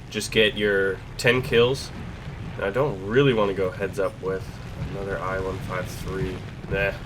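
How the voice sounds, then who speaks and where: A young man with a stuffed-up cold, hosting casual gameplay commentary, chatty and self-deprecating, clearing his throat often. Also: fairly low pitch, a bit bright, a little hiss or hum, poor audio. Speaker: gaming channel host.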